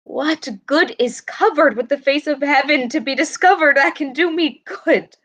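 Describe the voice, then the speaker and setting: A woman's voice: sits high, normal speed, fairly bright tone. A young woman playing a bereaved, scornful queen in a Shakespearean radio play, speaking formal verse with biting sarcasm. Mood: bitter grief. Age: young adult. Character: bereaved mother queen, bitter, scornful and grieving. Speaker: student actor.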